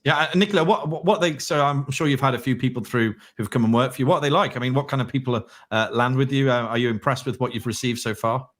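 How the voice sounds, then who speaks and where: Man, webinar host, fast talker, leading questions. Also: mid-range voice, a bit bright. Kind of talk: hosted webinar discussion.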